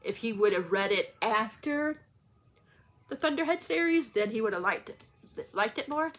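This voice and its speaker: Chatty woman with a bright voice. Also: a little high, animated, moderate pace, faint music behind. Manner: chatty, rambling, self-correcting. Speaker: book vlogger hosting a read-along. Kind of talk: casual unscripted chat to viewers.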